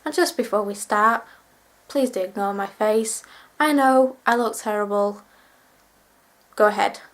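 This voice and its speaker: A woman's voice: mid-range voice, measured pace, a bit bright. A twenty-year-old woman vlogging to camera, chatting in a rambling, self-deprecating way while sick with a cold and cough. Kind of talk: casual chat to camera.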